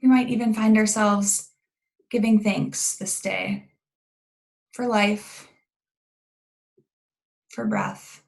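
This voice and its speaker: Creaky-voiced woman guiding a calm meditation. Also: mid-range voice. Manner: calm, gentle, unhurried with long pauses. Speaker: guided meditation leader. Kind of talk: slow, guided meditation.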